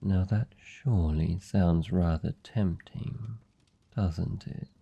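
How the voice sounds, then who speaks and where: A man's voice: low-pitched, gravelly, warm tone, breathy edge, highs cut off. A British man playing a suave, seductive vampire lord in a fantasy ASMR roleplay, speaking formally and teasingly with commanding, predatory politeness. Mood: persuasive. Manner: teasing, formal, silky and deliberate. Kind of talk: fantasy ASMR roleplay, slow intimate monologue.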